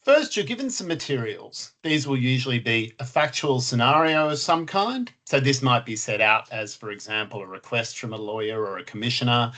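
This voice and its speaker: A man's voice: medium pitch, a bit bright. Man explaining a process plainly. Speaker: lawyer. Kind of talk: presenting in a webinar.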